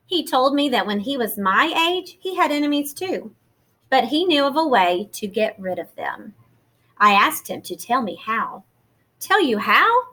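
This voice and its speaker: A woman reading a children's picture book aloud, expressively, with deliberate emphasis and questions put to the listener. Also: a little high. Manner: animated, deliberate emphasis, pausing to ask questions.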